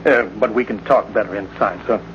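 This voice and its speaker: An actor playing a brash, pushy, cynical newspaper reporter, breezy and insistent, in old-time radio drama. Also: male voice, on the low side, steady tone, brisk, lightly acted. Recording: muffled, hissy old radio recording.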